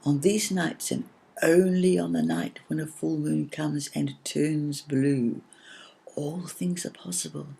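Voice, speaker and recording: A woman voicing a gentle, solemn little cloud in a children's story, speaking softly and carefully, at times in a whisper. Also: sits low, steady tone, creaky, breathy edge, heavily acted. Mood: hushed, solemn. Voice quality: soft, hushed, partly whispered. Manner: slow, careful, earnest.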